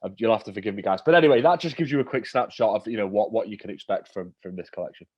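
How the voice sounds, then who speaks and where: A man's voice: lowish voice, racing, slightly rough, lo-fi sound. A man co-hosting a casual chat, bunged up and sniffly with a cold. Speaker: co-host.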